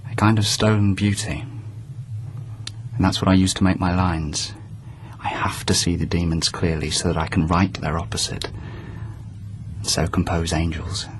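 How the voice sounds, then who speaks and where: A man acting in a radio drama as a brooding, tormented court poet, with a husky voice and a measured, lyrical delivery. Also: sits low, slight background noise. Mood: brooding, intense.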